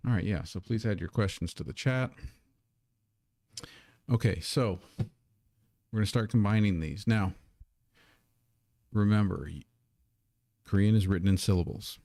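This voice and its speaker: A man's voice: fairly low pitch, unhurried, long pauses, warm tone, no room sound, clean studio sound. A man who is a native English speaker, teaching a live Korean lesson at his streaming desk in a casual, self-deprecating way, repeating words as he sets up.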